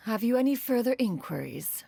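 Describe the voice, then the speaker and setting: Proud ancient crane immortal, slightly husky. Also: female voice, on the high side, warm tone, no room sound, full character voice. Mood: solemn, apologetic. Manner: formal, archaic, measured storytelling. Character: proud, ancient female crane adeptus, fond formal mentor. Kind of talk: scripted video game dialogue.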